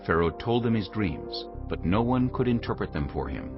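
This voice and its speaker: A man giving a solemn, measured and dramatic narration of scripture, formal in delivery. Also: fairly low pitch, sing-song, dull audio, music in the background.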